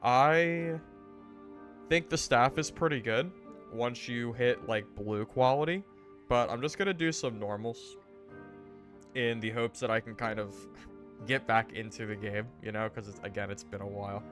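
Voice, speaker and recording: A man's voice: medium pitch, unhurried, soft background music. A man streaming a game, chatting casually with viewers while he recovers from being sick, his voice a bit worn. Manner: rambling, relaxed, greets chat viewers. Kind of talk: casual gaming stream chat.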